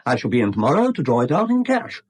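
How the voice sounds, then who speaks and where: A sly British con man in a seventies radio play. The voice is husky and mid-pitched, leaping about in pitch. He sounds jaunty, condescending and thoroughly pleased with himself. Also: huge pitch swings, fast. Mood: gleeful, scheming. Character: smug, breezy male confidence trickster. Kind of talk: 1970s British radio drama acting.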